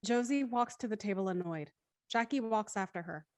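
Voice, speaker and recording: A woman's voice: mid-range voice, normal speed, darkish tone, dry recording, clean studio sound. A woman narrating scripted stage directions for a drama.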